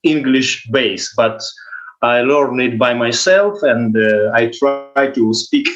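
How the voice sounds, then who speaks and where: A guitarist from Ukraine speaks with a Ukrainian accent, pausing often and reaching for the right words. His pitch sits in the middle range for a man, and the recording sounds a little dull and muffled. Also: faint music behind. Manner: halting speech with many pauses and fillers, searching for words. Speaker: guest guitarist. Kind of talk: interview answers.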